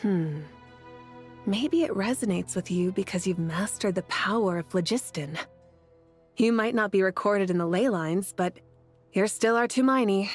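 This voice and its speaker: A woman acts a self-assured goddess who leads her nation in a video game. She talks in an easygoing, teasing way at a middle pitch, with music playing behind her. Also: normal speed, natural voice. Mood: playful, warm. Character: confident easygoing female leader god of a fiery nation.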